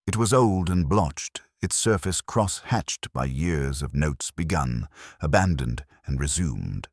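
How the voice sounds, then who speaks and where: A man narrating a mystery story from a script in a measured, precise, literary manner. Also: rumbling low, expressive, breathy edge, no echo, clean studio sound.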